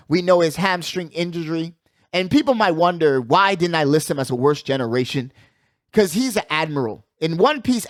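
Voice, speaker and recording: A man's voice: high voice, no echo, clean studio sound. A man hosting a sports show with casual, opinionated commentary, talking emphatically in slangy phrases and repeating himself to drive points home. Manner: slangy, conversational address to listeners.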